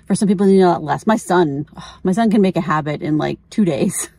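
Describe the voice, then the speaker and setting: A woman's voice: lowish voice, fast talker, creaky, mellow, breathy edge. A middle-aged woman chatting casually as a vlogger, informal and candid, often thinking aloud. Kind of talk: casual chat.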